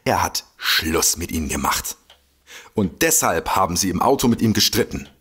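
A would-be detective in a comic radio play. His voice is husky and very animated. He sounds self-important and is easily exasperated. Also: low-pitched, moderate pace, exaggerated voice. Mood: smug. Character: bumbling, smug would-be detective, easily indignant man. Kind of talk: comedic audio drama acting.

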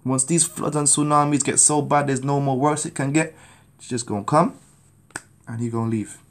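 A man's voice: mid-range voice, fairly even intonation, normal speed. A man in his thirties from Jamaica, preaching his testimony to a phone camera in a small room at home, urgent and pleading. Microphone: phone camera at arm's length.

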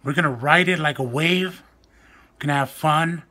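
A young man vlogging casually to the camera at home, with a soft, weak, raspy voice and a slow, self-mocking, joking manner, recorded on a phone or webcam close to his face. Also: on the high side. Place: indoors at home, small room.

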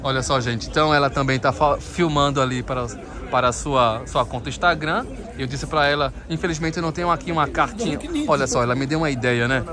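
A man's voice: medium pitch, fast. A man from Brazil with a Brazilian accent, vlogging casually as he walks an outdoor Christmas market and chats with vendors. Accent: Brazilian Portuguese. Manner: chatty, addresses viewers often, switches to careful French with vendors. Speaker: travel vlogger. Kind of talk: casual walking vlog, chatting with vendors. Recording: busy crowd noise outdoors. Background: market crowd.

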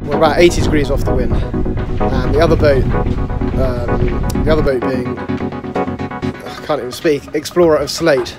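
A man's voice: medium pitch, a little slow, long pauses. A man in his thirties vlogging about sailing, talking casually into a phone held at arm's length. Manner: chatty, breathless on deck. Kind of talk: casual vlog narration. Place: lying in a boat cabin. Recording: loud music under voice.

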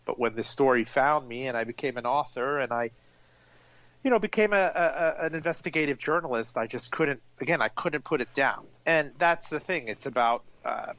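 A man in his forties, an author and journalist, telling a long story as a guest. His delivery is fluent and animated, with occasional restarts as his thoughts race ahead, and his pitch sits at an ordinary middle level. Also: poor audio. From grew up in Miami, born in Iran. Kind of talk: interview guest.

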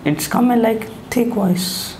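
A seventeen-year-old young man with an Indian accent and a thin, high, feminine-sounding voice, giving halting interview answers. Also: lively intonation, a little mellow. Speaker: voice therapy patient. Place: small indoor clinic studio. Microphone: lapel mic. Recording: echoey room with background noise.

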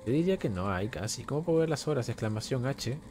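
A man's voice: medium pitch, lively intonation, quick pace. A young man from Venezuela streaming gameplay from home, chatting with his viewers and answering questions from chat at a desk microphone. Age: young adult. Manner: chatty, warm greetings to viewers, reads chat aloud. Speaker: gaming streamer. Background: faint game music.

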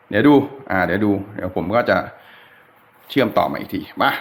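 A man's voice: lowish voice, a little hiss or hum. A man giving an informal, easygoing, hands-on tutorial in a chatty, unscripted way. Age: adult with a child. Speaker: DIY guitar electronics tutorial host. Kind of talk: casual hands-on demonstration talk.

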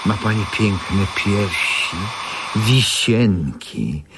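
A man's voice: on the low side, animated, drawn-out words, very noisy. An actor playing an unctuous, self-important male corset-maker in a radio drama, theatrical and insinuating.

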